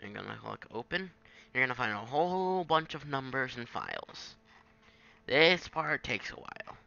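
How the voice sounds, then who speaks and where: Gravelly man giving a casual tutorial. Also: medium pitch, big pitch swings, moderate pace, bright, forceful tone. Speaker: tutorial maker. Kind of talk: casual off-the-cuff software tutorial. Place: at a home computer, screen recording. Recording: dull lo-fi home microphone.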